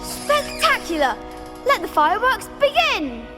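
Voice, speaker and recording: Student club organiser: teenage girl, high voice, confident, eager showwoman, game dialogue over music. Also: animated, moderate pace. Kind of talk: video game voice acting.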